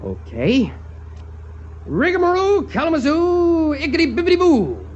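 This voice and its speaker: A man's voice: very high-pitched, wildly swooping pitch, measured pace, slight background noise, exaggerated voice. A man acting an unhinged, sardonic, murderous character with melodramatic delivery in a 1950s radio drama. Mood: playful, manic. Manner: melodramatic, wry, swinging from mutter to outburst. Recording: muffled 1950s radio recording.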